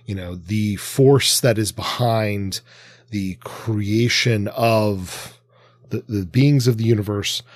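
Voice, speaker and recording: A man with a lowish voice speaks as a co-host on a literary podcast. His delivery is casual and somewhat halting, with qualifications along the way. The recording is dry and free of room sound. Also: no echo.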